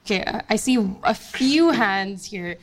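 A woman's voice: mid-range voice, lively intonation, measured pace. Chatty young woman from Bangladesh. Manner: chatty, many fillers and restarts. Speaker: guest speaker, investment analyst. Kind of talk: casual onstage interview.

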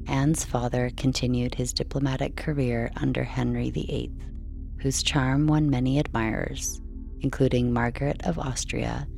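A woman reading a scripted bedtime story in a slow, measured, soothing delivery. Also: extremely low pitch, vocal fry. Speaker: sleep story narrator. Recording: soft music behind.

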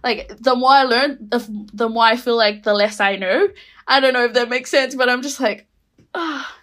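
A young woman from Rotuma, a guest speaking in casual, reflective conversation, full of "like" and "you know" and quick to laugh. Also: a little high, a bit bright. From Rotuma, Fiji. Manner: chatty, many fillers, laughs easily.

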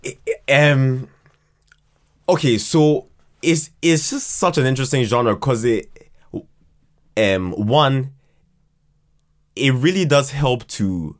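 A man chatting casually as a podcast guest, halting and often repeating words as he explains his ideas. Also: medium pitch. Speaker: podcast guest, writer and creator of a fiction podcast. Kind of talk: casual interview chat.

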